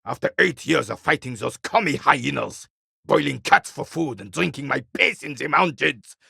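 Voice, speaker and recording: An actor playing a bitter, proud royalist war veteran in his eighties in a video game, with a rasping, weathered voice and a haughty, formal military way of talking. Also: medium pitch, animated, moderate pace, bright, forceful tone, no room sound, clean studio sound, heavily acted. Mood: bitter regret. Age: 80s. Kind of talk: video game character dialogue.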